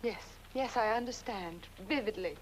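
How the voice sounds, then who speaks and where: A woman's voice: mid-range voice, moderate pace, in a large hall, natural voice. A woman playing a curt, strained aristocratic lady with a terse, restrained manner, in a film drama.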